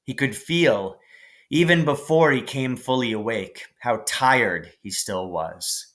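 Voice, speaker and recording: A man reading a children's novel aloud as scripted narration, in a measured, careful way. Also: mid-range voice, fairly bright tone. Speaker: audiobook narrator.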